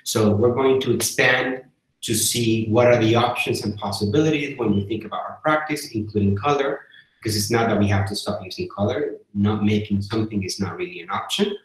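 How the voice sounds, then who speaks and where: Low-voiced man leading a video seminar. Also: fairly quick, echoey room, low-quality recording. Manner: thoughtful, hesitant with fillers. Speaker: residency program host. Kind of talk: online seminar discussion. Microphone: video call.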